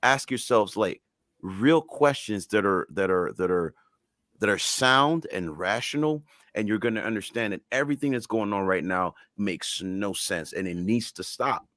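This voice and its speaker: A man's voice: lowish voice, moderate pace, a bit bright, dry recording, studio quality. A man whose first language is French, speaking English as a music artist guest in a casual interview, earnest and emphatic.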